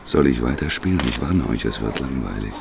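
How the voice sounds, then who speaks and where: A man's voice: rumbling low, expressive, a little fast, gravelly, background noise, full character voice. A man acting an enigmatic, stubborn prophet in a radio play, speaking laconically and in riddles. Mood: calm, fatalistic. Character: enigmatic prophet, calm stubborn man who gambles with paradoxes.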